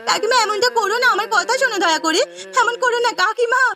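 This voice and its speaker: Woman voicing a high, plaintive young housemaid. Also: quick pace, bright, forceful tone, faint music behind, full character voice. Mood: pleading. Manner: plaintive, imploring. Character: poor, earnest, pleading young village housemaid. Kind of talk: Bengali cartoon story voice acting.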